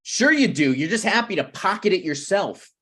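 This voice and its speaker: A man's voice: sits high, very animated, moderate pace, pushed and bright, husky, full character voice. A man in his thirties at a script table read, playing a needy, prickly struggling screenwriter who talks in a pressing, conversational way. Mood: bitter. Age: 30s.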